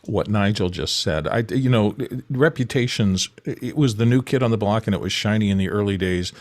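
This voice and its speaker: A man's voice: lowish voice, moderate pace, no echo. A man on a live panel show who reads out audience questions.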